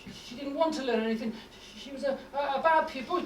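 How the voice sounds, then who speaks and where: A woman's voice: high-pitched, quick pace, close to an everyday voice. A woman playing an unhinged, bullying elderly teacher who hectors and later stammers in panic. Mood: stammering. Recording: roomy stage sound from far off with noise.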